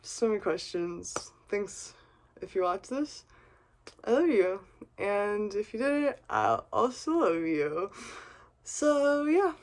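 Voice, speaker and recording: A woman with a middle-pitched voice talks to her camera in a loose, wandering monologue. She is earnest and confiding, and drops casual swears and little laughs as she goes, sounding like she's had a drink. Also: big pitch swings, takes it slowly. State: has been drinking vodka. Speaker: vlogger.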